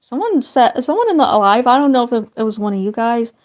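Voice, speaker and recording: A woman's voice: a little high, big pitch swings, fairly quick. A chatty woman rambling to her stream viewers. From northern United States. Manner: chatty and meandering, trailing into quiet asides. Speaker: livestream host drawing and chatting with viewers. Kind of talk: casual livestream chat.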